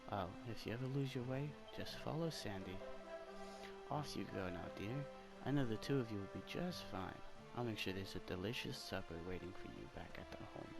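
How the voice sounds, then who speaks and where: A doting village mother from a fantasy game, read aloud by a man in his own register. The voice is lowish and gravelly, affectionate and fussing, with loud music behind. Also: lively intonation, fairly quick, low-quality recording. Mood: fond, proud. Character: fond, fussing village mother of grown son.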